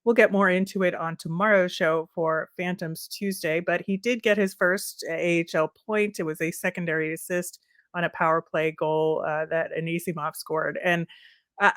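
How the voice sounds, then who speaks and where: A woman's voice: fairly low pitch, a bit bright, no echo. Woman co-hosting sports talk, dry close sound. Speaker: sports podcast co-host. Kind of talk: casual hockey recap chat.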